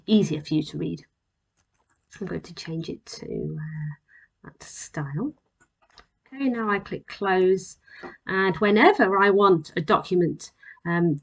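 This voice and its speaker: A woman presenting an instructional software demonstration as a voice-over, explaining plainly and step by step. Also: mid-range voice. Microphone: voice-over on a screen recording.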